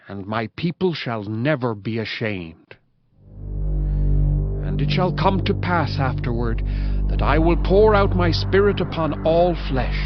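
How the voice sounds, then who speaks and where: Solemn male scripture narrator over loud music. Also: mid-range voice. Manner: solemn, declamatory, measured phrasing. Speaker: audiobook narrator. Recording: dull audio under loud music.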